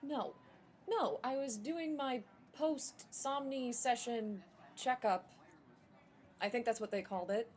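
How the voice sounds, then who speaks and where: A woman's voice: fairly high pitch, slow, a little mellow, a little hiss or hum. A woman playing a wary, troubled young patient in a radio drama, guarded and doubting. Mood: evasive. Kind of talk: modern radio drama acting.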